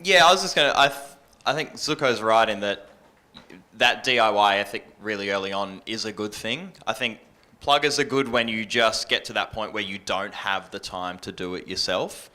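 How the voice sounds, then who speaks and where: A man's voice: lowish voice, normal speed, sharp tone. A man speaking informally as a panellist, offering his opinion.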